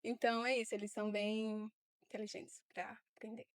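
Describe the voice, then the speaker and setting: A young woman, a guest on a podcast, speaking warmly but hesitantly with many fillers. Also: on the high side, little pitch movement, fairly quick. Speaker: guest volunteer. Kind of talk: casual interview.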